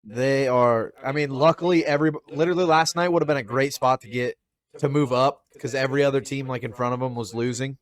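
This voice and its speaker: A man's voice: mid-range voice, brisk. Rambling casual podcast guy. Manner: rambling sports talk, swears freely. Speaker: podcast co-host. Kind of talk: casual chat.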